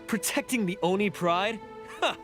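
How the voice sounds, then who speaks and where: A man's voice: high-pitched, normal speed. An actor playing a bitter male oni antagonist in an anime-style video game, mocking and accusing in a theatrical way. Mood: scornful. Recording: game audio under loud music.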